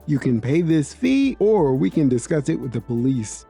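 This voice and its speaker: A storyteller voicing a polite, firm male restaurant manager who speaks calmly and formally. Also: medium pitch, gravelly, soft background music. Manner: calm, formal, measured.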